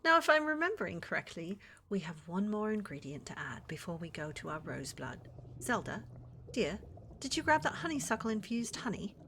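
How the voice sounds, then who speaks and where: A woman's voice: mid-range voice, sing-song, fairly quick, fairly bright tone, a little hiss or hum. A woman playing a flirty, controlling witch mistress in a medieval fantasy ASMR roleplay, coaxing and genteel in her manner. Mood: pleased, bossy. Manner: coaxing, genteel, calls others dear.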